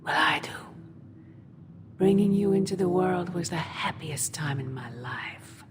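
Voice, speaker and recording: A woman playing a sinister demonic mother in a game cutscene, possessive and tender, with breathy, theatrical delivery. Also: lowish voice, unhurried, background noise, natural voice. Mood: menacing tenderness. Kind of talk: dramatic game cutscene acting. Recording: music behind the voice.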